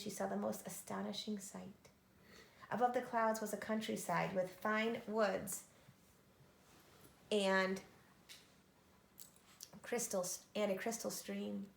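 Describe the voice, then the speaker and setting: A woman reading a children's story aloud with expressive narration. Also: medium pitch, creaky. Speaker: storybook reader. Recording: echoey room.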